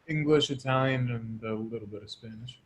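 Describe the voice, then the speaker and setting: A man's voice: medium pitch, a little fast, a little hiss or hum. A young man, a student, giving brief, plain answers to his professor's questions in class.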